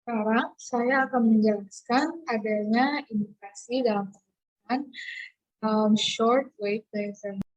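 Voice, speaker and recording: A woman's voice: fairly high pitch, low-quality recording. A young woman, a student explaining during a class presentation. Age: young adult.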